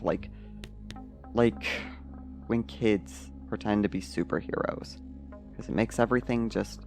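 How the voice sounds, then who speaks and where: A man playing a troubled, pessimistic man in an audio fiction monologue, confessing in a halting, hesitant way. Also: lowish voice, creaky, darkish tone. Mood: wistful.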